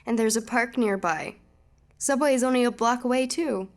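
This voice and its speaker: Woman playing young newlywed, audio drama, affectionate, eager, fairly high voice. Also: normal speed, lightly acted. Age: young adult. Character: cheerful, smitten newlywed woman house-hunting.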